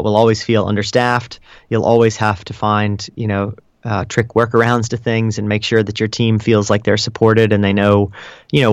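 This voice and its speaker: A man answering interview questions as a guest, explaining things in a measured, conversational way with casual hedges. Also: lowish voice, dry recording. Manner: explanatory, measured, hedging with "kind of" and "you know". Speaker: interview guest, customer support director.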